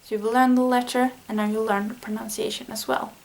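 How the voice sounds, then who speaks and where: A woman's voice: on the high side, level tone, fairly quick, vocal fry, darkish tone, a little hiss or hum, rough recording. A Swedish-speaking woman explaining language study in a casual, earnest vlog lesson, thinking aloud with small fillers. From Sweden. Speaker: language learning vlogger.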